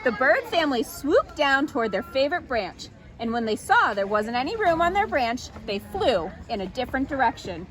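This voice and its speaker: A woman reading a children's story aloud as an expressive storytime narrator. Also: sits high, moderate pace.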